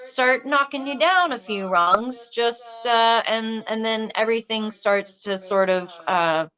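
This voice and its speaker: A woman talking casually in a panel discussion, thinking aloud in a halting, rambling way. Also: on the high side, a bit bright, rough recording. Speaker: filmmaker discussing own script.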